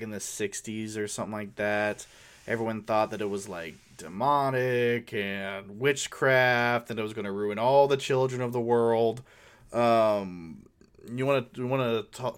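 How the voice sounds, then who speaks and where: A man co-hosting a casual conversation about tabletop gaming, talking in an informal, rambling and halting way. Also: medium pitch, clear, resonant tone. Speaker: co-host.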